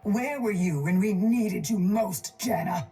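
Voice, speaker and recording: An actress playing a cold, calculating older crime-boss chemist in a video game, speaking in a measured, menacing, condescending way. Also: female voice, medium pitch, dark tone, breathy edge, faint music behind. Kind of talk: video game character voice lines.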